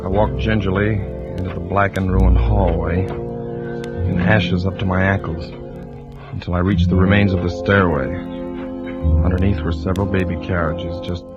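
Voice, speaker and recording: An actor playing a tense, suspicious fire inspector and family man who narrates his own story deliberately, in 1940s radio drama style. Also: low voice, level tone, close to an everyday voice. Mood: brooding suspense. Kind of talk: 1940s radio acting, first-person suspense narration. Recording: muffled old radio recording, loud organ music.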